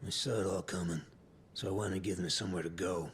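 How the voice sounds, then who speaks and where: An actor, a man, playing a responsible, steady yakuza organiser in a video game cutscene. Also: fast, lightly acted. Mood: solemn. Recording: rumbling noise behind the voice.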